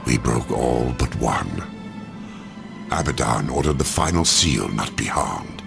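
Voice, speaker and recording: An actor voicing a grave, anxious, dignified old angel in a video game, speaking formally and theatrically. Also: male voice, very deep voice, animated, slow, creaky, over music, exaggerated voice. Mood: regretful. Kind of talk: video game drama acting.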